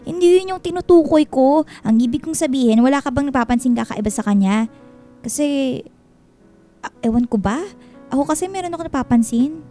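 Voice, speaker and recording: A woman's voice: high voice, animated, brisk, long pauses, mellow, soft background music. A woman playing a bossy, blunt, gossipy young friend with a Filipino accent in a radio drama. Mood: coy, exasperated.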